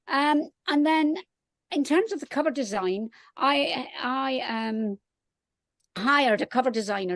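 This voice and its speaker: A woman's voice: on the high side, no room sound, clean studio sound. A woman from Scotland with a Scottish accent, talking casually outdoors as a guest author on a panel.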